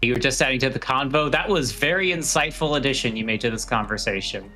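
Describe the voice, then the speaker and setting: Bright-voiced man, dryly bantering. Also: medium pitch, fast, faint music behind. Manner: dry, wry, rambling banter. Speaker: livestream guest. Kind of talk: casual bantering chat.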